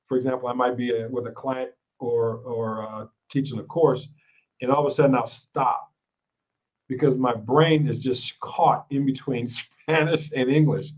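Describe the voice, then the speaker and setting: A man's voice: mid-range voice, fairly even intonation, moderate pace, a little mellow, low-quality recording. A man from Ohio hosting a podcast, chatting casually, laughing often and restarting his sentences. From Ohio, United States. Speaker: podcast host. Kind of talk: casual interview chat.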